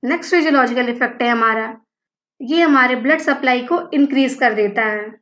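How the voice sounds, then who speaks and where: A woman's voice: high voice, fairly quick, a bit bright. A woman with an Indian accent reads a scripted educational narration in an instructional manner, as a physiotherapy lecturer. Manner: mixes Hindi and English, instructional. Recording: dull lo-fi audio, roomy echo.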